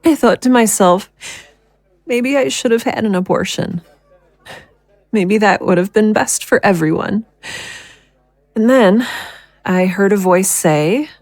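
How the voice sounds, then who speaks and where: A woman playing a guilt-ridden, worn-down young mother in an audio drama, speaking haltingly and emotionally. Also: medium pitch, a little mellow, dry recording. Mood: anguished, guilty. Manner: halting, emotional confession with sighs.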